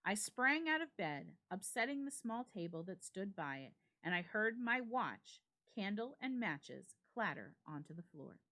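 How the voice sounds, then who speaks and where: A woman storyteller giving a suspenseful, expressive dramatic reading of a ghost story with deliberate phrasing. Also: medium pitch. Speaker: storyteller, library reader.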